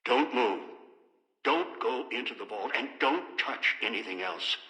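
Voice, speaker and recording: An older man voicing a domineering, scheming old man in a video game, deliberate and imperious, through a reverberant loudspeaker echo. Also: medium pitch, big pitch swings, gravelly, full character voice. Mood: gloating, possessive. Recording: echoing hall, long reverb, distant.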